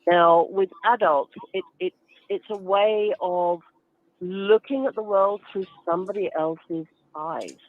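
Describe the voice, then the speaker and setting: A woman's voice: mid-range voice, steady tone, slow, phone-quality sound. A woman giving explanatory interview answers as a guest, instructive and emphatic, with everyday examples. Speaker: guest author and reading advocate.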